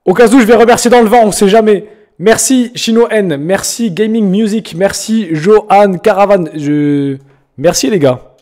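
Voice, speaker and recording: High-voiced joking young French streamer. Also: male voice, normal speed. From France. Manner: joking, slangy, teasing, breaks into loud outbursts. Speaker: streamer reacting to viewers' music. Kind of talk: casual live-stream chat.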